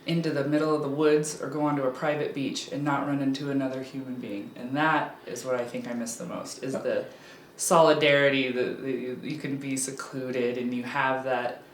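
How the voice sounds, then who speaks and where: A woman's voice: rumbling low, flat delivery, moderate pace, few pauses, a little hiss or hum. A woman in her thirties from Alaska, a guest in a casual interview, talking candidly with frequent ums and restarts. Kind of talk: casual conversation. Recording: roomy echo, faint background ambience.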